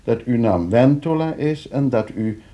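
A man's voice: medium pitch, lively intonation, unhurried, dark tone, close to an everyday voice. Guy playing a slick scheming lawyer in a dutch radio play, netherlands accent, condescending, very up and down voice. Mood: needling, smooth. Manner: suave, hesitant repetitions, condescending. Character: smug, scheming lawyer, falsely hospitable and needling.

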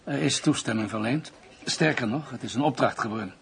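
A man's voice: medium pitch, a little fast, creaky, fairly bright tone. An actor playing a cautious, reserved male police commissioner in a radio drama, formal and businesslike. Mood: matter-of-fact.

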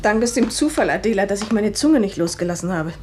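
A bitter, jealous woman acted by an actress in a radio drama. Her voice is medium in pitch, menacing and emphatic. A faint noise runs under it. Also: expressive, quick pace, close to an everyday voice. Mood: jealous, threatening. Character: bitter, threatening jealous sister.

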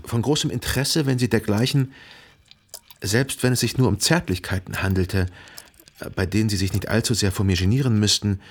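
A brooding narrator in a literary radio drama. His voice sits low and has a husky grain. He speaks formally and introspectively, probing like a jealous lover in mourning.

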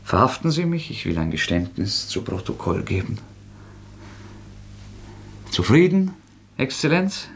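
An actor playing a proud, bitter young student murderer in a radio play, with an intense, sardonic, defiant delivery. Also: male voice, mid-range voice, sing-song, a little slow, gravelly, pauses often, slight background noise. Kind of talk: German radio play acting.

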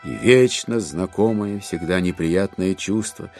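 A man narrating a literary audio drama in an expressive, theatrical way with deliberate dramatic phrasing. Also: low-pitched, faint music behind.

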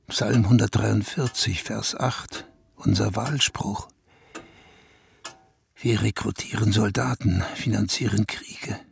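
An actor playing a proud, brooding, weary seventeenth-century ruler in a radio play, pompous in manner, with a gravelly, husky voice. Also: male voice, lowish voice, a little hiss or hum. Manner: pompous, slow, speaks in royal we. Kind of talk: German radio play monologue.

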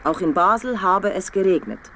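An actress playing a composed radio news and weather announcer in a radio play. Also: female voice, medium pitch, animated, moderate pace, over loud music, natural voice. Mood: matter-of-fact.

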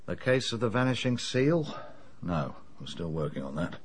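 Bluff, husky English copper. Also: male voice, mid-range voice, big pitch swings, moderate pace. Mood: breezy, confident. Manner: breezy, informal, confident. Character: bluff, matey British male police detective. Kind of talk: radio drama acting.

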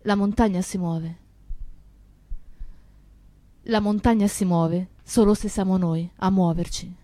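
An actress playing an anxious, grieving young woman in a radio play, with intense, deliberate phrasing. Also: medium pitch, fairly even intonation, mellow, dry recording, close to an everyday voice. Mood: sombre, reflective. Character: anxious young woman, grieving comrade remembering a terrible night. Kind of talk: theatrical radio play monologue and dialogue.

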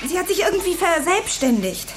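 A woman's voice: very high voice, very animated, a little fast. An actress playing a concerned, courageous teenage schoolgirl in a German radio play. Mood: worried, earnest. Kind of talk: German radio drama acting.

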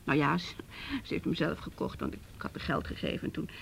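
A woman's voice: very animated, a little fast, creaky, heavily acted. An actress in a radio play playing an anxious, distraught mother who stammers and repeats her words. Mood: flustered. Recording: faint noise and low rumble.

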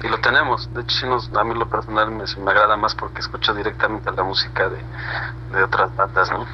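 A man's voice: fairly low pitch, flat delivery, fast talker. A Mexican man being interviewed as a band member, chatting casually in a slangy, rambling way with frequent tag questions. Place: remote internet call. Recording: muffled, noisy internet video call.

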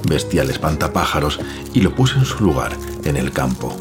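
Casting: farmer dad, extremely low voice, measured first-person horror storytelling over prominent music. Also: male voice, big pitch swings, full character voice. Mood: wistful, tender. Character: farmer father, puzzled, fond of his daughter. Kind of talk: dramatic first-person narration in horror audio drama. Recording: music behind the voice.

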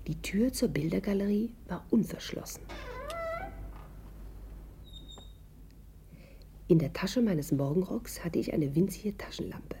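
Low, husky, creaky female narrator. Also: mellow, full character voice. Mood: hushed suspense. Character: cool, confident female thief telling her adventure. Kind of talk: first-person scripted radio drama narration.

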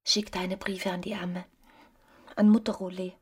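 An actress playing a passionate, dreamy married woman in a secret affair, often breathy and near a whisper, in a radio play. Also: lowish voice, level tone, unhurried, darkish tone, no echo, clean studio sound. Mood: urgent secrecy. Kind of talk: literary radio play acting.

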